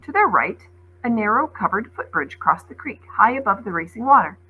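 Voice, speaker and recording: A woman reading a children's novel aloud as an expressive storyteller, like a teacher reading to a class. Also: medium pitch, moderate pace, phone-quality sound, slight background noise.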